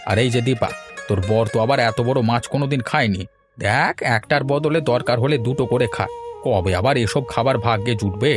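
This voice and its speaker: A man's voice: mid-range voice, brisk, lightly acted. A man voicing a fussy, chiding village father in a melodramatic cartoon, speaking in exclamations. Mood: brusque, chiding. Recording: music behind the voice.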